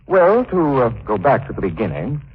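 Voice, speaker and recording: An actor playing a suave, composed young gentleman sleuth, polite and measured, in a 1940s radio drama. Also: mid-range voice, huge pitch swings, slightly rough, exaggerated voice. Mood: calm, explaining. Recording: muffled, slightly noisy 1940s radio broadcast.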